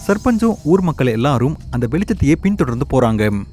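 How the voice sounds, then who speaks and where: A man narrating an animated horror story in a steady, plain manner. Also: mid-range voice, brisk, soft background music.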